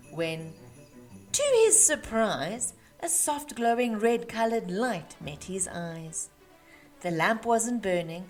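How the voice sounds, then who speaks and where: A woman reading a rhyming children's storybook aloud with measured, emphatic phrasing for young listeners. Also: mid-range voice. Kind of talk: expressive read-aloud of rhyming Christmas storybook. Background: music.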